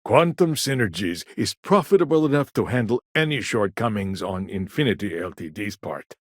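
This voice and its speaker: An actor playing a shrewd, guarded businessman in a video game, with a measured, wry, businesslike way of talking. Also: on the low side, animated, no echo, studio quality. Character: shrewd, guarded corporate businessman, smooth-talking and self-assured. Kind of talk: scripted game dialogue.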